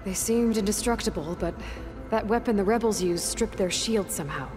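A woman's voice: brisk, over music, close to an everyday voice. A woman playing an earnest young female adventurer in a video game, talking in a plain, thoughtful way. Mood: thoughtful, questioning. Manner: plain-spoken, thoughtful, low-key. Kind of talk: scripted video game dialogue.

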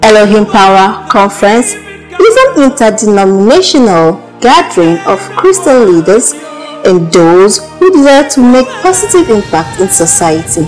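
A woman's voice: medium pitch, sing-song, normal speed, a little mellow, low-quality recording. A woman reads scripted documentary narration as a voice-over. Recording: voice-over with music behind.

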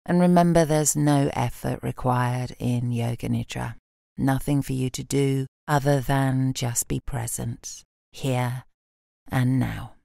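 A British woman leading a guided relaxation meditation in a calm, soothing, soft voice, with gentle instructions and long pauses. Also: rumbling low, vocal fry, no room sound, studio quality. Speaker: yoga nidra meditation guide.

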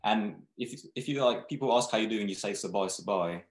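Young brand founder, lowish voice, laid-back and rambling, webcam in a roomy-sounding room. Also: male voice, fairly quick. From Thailand, raised in England. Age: young adult. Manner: relaxed, rambling, frequent restarts. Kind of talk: casual video-call interview. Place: small room indoors. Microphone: webcam video call. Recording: echoey room.

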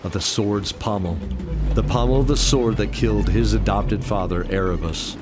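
A man narrating a radio play in a deliberate, descriptive, dramatic storytelling style. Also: fairly low pitch. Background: music bed.

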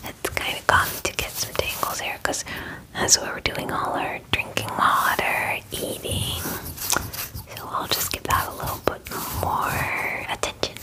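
A young woman whispers very slowly in a breathy ASMR roleplay. She is gentle and soothing, often repeating little words softly as she plays an attentive groomer close to the mic. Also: rough recording. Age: young adult. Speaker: ASMR performer playing a spa groomer. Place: small indoor room. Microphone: close mic, speaking near it.

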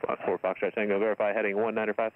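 A man's voice: on the low side, little pitch movement, very fast. A man working as an air traffic controller, giving clipped, formulaic instructions over the radio. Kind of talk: rapid radio phraseology. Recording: tinny radio transmission.

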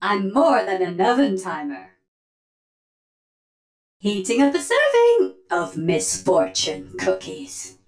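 A woman's voice: mid-range voice, sing-song, takes it slowly, long pauses. A woman playing a feisty, sassy robot oven mom who bakes and fights, delivering theatrical punning one-liners for a video game. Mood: gleefully menacing. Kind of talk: video game character voice lines.

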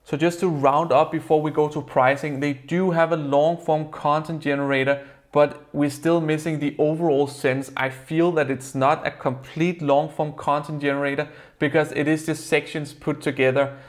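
A young man with a Danish accent reviews software from a desk in a plain room. His voice sits a little high, and his phrasing is earnest and explanatory, with a few non-native turns. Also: flat delivery, moderate pace. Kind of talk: product review to camera.

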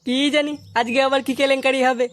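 A man voicing a crude, fretful young village club leader in a comic cartoon, with an exasperated, pitched-up voice. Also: level tone, quick pace, background noise. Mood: concerned.

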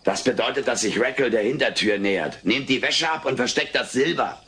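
An actor playing a cheeky, excitable furry alien in a dubbed sitcom audio drama, insistent and comically earnest. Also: male voice, medium pitch, brisk, close to an everyday voice. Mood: deadpan mischief. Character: wisecracking, excitable furry alien living with a family. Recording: hissy old cassette recording.

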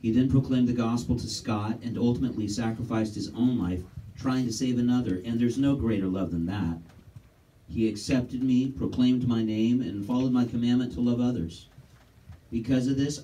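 A man playing a gentle, earnest savior. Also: medium pitch, natural voice. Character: calm compassionate male savior, defender. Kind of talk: church stage drama. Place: church stage set, indoors. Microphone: distant camera mic. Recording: distant stage voice.

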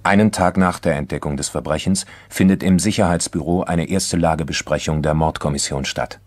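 An actor narrating a crime radio play as a sober, measured chronicler of police files. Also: male voice, rumbling low, steady tone, no room sound. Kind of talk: scripted documentary crime narration.

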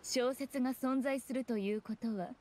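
A woman playing a teasing, regal fox shrine maiden in a video game, with archaic lofty speech and an amused, unhurried manner. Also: on the high side, noisy background, lightly acted. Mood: amused, assured. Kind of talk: scripted video game voice acting.

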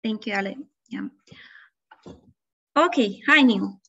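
A woman's voice: mid-range voice, lively intonation, takes it slowly, creaky, a bit bright, husky. A young woman moderating an online event over a video call, friendly and hesitant with many fillers. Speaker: webinar moderator. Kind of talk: casual hosting. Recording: dull lo-fi call audio.

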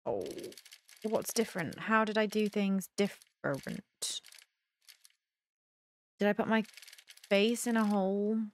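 A woman's voice: mid-range voice, animated, slow, long pauses, a little hiss or hum. A young woman gives casual let's play commentary, thinking aloud and questioning herself in puzzlement. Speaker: gaming video host. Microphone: close desk mic with headset on.

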